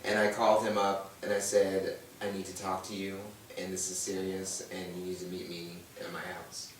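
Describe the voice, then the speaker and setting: Low-voiced man in an echoey room. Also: completely flat delivery, normal speed, slight background noise. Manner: earnest, storytelling, quoting others. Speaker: guest. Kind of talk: casual panel chat. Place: room with a brunch panel. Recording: echoey room, distant mic.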